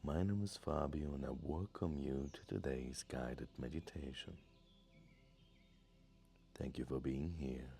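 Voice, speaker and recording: Super deep mellow guy doing guided meditation, really slow and gentle, long pauses. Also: steady tone, gravelly. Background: music.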